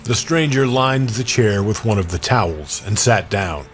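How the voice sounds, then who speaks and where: A man reads a first-person story as narrator of an audio drama. His voice sits fairly low. An original music score plays clearly behind him. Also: lively intonation, normal speed. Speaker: narrator and performer.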